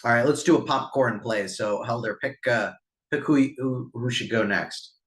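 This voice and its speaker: A man's voice: mid-range voice, moderate pace, a bit bright. A man, a company CEO, hosting a casual online town hall meeting, friendly and rambling with many fillers.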